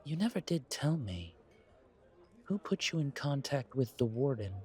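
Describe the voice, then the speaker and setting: Genteel vampire survivor, male actor, audio roleplay: world-weary and wary, unhurried delivery, mid-range pitch, courtly phrasing. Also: sing-song, darkish tone. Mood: pensive, guarded. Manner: courtly, deliberate, pausing, sighing between phrases. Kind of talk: slow audio roleplay acting, addressed to listener.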